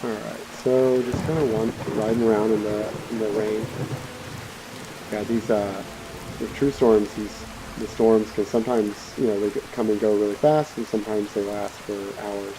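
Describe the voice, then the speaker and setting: A man's voice: on the low side, fairly even intonation, moderate pace, noisy background. A man giving casual, rambling, laid-back commentary as he hosts a let's play. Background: game music and rain.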